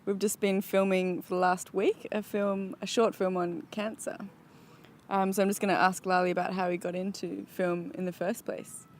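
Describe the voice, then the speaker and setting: A woman of middle pitch hosts a casual outdoor interview, putting brief, direct questions to her guest. A faint wash of outdoor ambience sits under her voice. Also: little pitch movement, normal speed, a little mellow, a little hiss or hum. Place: outdoors overlooking the ocean.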